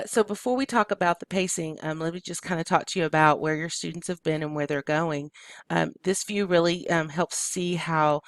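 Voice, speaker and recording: An adult curriculum presenter who speaks to teachers with a froggy, allergy-roughened throat. The delivery is hesitant and full of ums and uhs. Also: mid-range voice, no echo. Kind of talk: recorded curriculum walkthrough.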